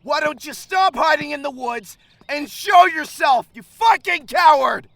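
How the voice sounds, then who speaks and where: A man's voice: extremely high pitch, animated, unhurried, a bit bright, dry recording, full character voice. A man playing a furious, protective young man with an intense, defiant manner in an audio drama. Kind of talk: dramatized audio fiction acting.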